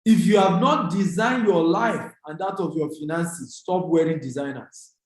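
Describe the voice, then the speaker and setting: A Nigerian man speaks in a strongly echoing room, his voice pitched a little high. He delivers a motivational business seminar to people online, urging them on with emphatic, repeated key phrases. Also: moderate pace, a little mellow. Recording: very echoey, reverberant room.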